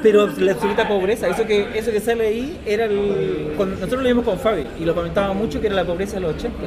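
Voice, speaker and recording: A man in his thirties talks fast in a high voice, blunt and full of slang as he co-hosts a podcast. A loud crowd murmurs behind him in a large room. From Chile. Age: 30s. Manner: animated, slangy, swears freely. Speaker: podcast co-host. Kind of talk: casual chat.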